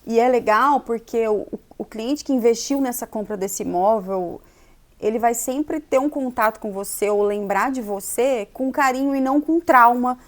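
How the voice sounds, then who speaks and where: A woman hosts a relaxed interview, keeping the talk moving with tag questions. Her voice is fairly high for a woman. She chats in a friendly, conversational way. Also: normal speed. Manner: chatty, often adds tag questions. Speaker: interviewer, magazine host. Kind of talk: casual interview chat.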